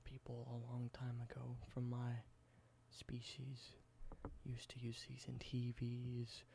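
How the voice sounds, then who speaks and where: A man doing a whispered ASMR roleplay, explaining things slowly and correcting himself now and then. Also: medium pitch, completely flat delivery, gravelly, a little hiss or hum. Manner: soft whisper, slow explanations with small self-corrections. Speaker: ASMR creator.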